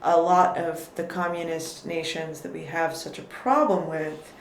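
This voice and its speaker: Woman, lowish voice, thoughtful, casual talk, echoey room. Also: fairly even intonation, unhurried. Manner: thoughtful, qualifies her words, frequent restarts. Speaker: podcast guest. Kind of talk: casual political conversation. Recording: echoey room with faint noise.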